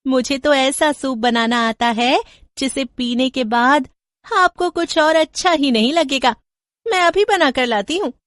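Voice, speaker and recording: A woman's voice: sits high, a bit bright, dry recording, studio quality, pitched above the performer's own voice. A woman voicing a menacing, falsely sweet witch servant with a gloating, cackling manner in a horror story. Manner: cackling, drawn-out threats.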